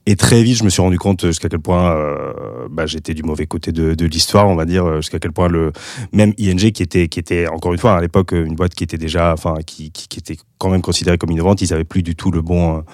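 A man's voice: low voice, fairly even intonation, quick pace, a little mellow, no room sound, clean studio sound. A Spanish man in his thirties with a light Spanish accent, speaking French as a second language, talking through his career in a casual interview, with many restarts and fillers. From Spain. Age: 30s. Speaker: guest.

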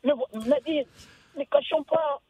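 A man's voice: very high-pitched, measured pace, no echo. A man from the Congo calling in to a radio show, speaking with impassioned emphasis over a phone line. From Democratic Republic of the Congo. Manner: impassioned, emphatic, swooping intonation. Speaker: caller. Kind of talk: call-in radio opinion.

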